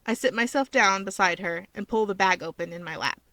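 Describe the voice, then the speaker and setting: A woman's voice: mid-range voice, a little fast, pushed and bright, no echo. A woman reading first-person fan fiction as the story's narrator.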